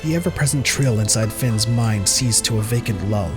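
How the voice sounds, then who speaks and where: A man's voice: lowish voice, normal speed. Man narrating a dramatic story over music. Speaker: narrator of a fan audio drama. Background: prominent music.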